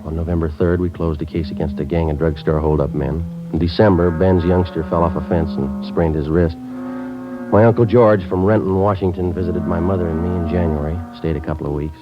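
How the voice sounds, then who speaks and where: An actor playing a stoic police detective, narrating and talking in a clipped, matter-of-fact way, in a 1950s radio drama. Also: male voice, deep voice, natural voice. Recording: dull old radio broadcast with music.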